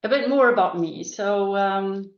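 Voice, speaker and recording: A woman's voice: mid-range voice, measured pace. A middle-aged woman from northern Germany with a German accent, a guest in a casual interview, talking thoughtfully with many ums and restarts. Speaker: guest, business coach. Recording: echoey dull-sounding room.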